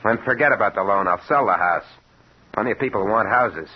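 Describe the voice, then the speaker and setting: An actor playing an urgent, devoted working-class husband in 1950s radio drama style, on a muffled, hissy old recording. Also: male voice, lowish voice, fairly quick, lightly acted. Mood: desperate, pleading. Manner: urgent, insistent, colloquial.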